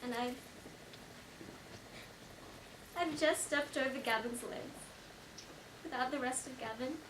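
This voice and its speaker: A woman's voice: sits high, fairly bright tone. A young woman playing a shaken teenage girl in a dramatic monologue, telling her story in a colloquial, run-on way. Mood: stunned, hushed. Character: shaken teenage girl recounting a car crash. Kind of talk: dramatic stage monologue, first-person storytelling. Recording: echoey room, distant mic, faint noise.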